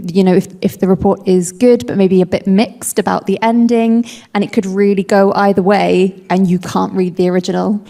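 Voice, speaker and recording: A woman editor talks on a live panel, thinking aloud and filling pauses as she goes. Her voice is warm and mellow at a middle pitch. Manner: thoughtful, with frequent fillers. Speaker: editor of translated books.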